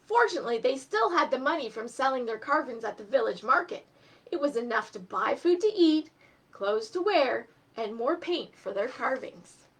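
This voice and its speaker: A woman hosting a children's storytime, expressive and speaking directly to young listeners as she reads and explains step by step. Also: sits high, moderate pace, husky. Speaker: storytime host and reader for children. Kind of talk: animated storybook reading and craft instruction for children.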